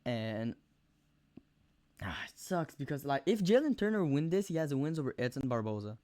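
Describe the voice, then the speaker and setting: A young man with a thick Quebec French accent giving rambling, profane fight predictions on camera, full of fillers and sudden animated outbursts. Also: medium pitch, moderate pace, dry recording. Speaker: MMA video host. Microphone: camera mic, close.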